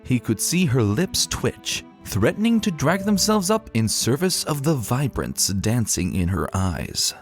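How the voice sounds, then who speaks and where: A man narrating a story dramatically, with expressive, deliberate phrasing. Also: mid-range voice. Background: background music.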